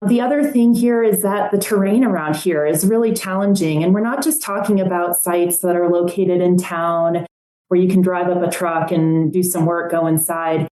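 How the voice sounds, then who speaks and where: A woman's voice: on the low side, warm tone. A woman speaking as an interview guest, explaining things in a conversational way. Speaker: museum professional, interview guest. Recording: roomy, echoey sound.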